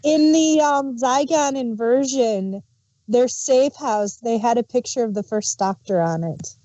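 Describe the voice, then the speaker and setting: A woman's voice: fairly high pitch, a little slow, mellow, no echo, muffled recording. A woman co-hosting a review show in casual, conversational talk. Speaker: podcast co-host reviewing audio dramas. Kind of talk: casual chat.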